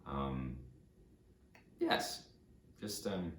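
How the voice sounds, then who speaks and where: Male reader, deep voice, off-the-cuff halting asides in fragments, echoey room. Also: animated, a bit bright, a little hiss or hum. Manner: hesitant, trailing fragments. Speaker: narrator reading an audiobook chapter. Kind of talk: informal, halting spoken aside. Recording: roomy, echoey sound.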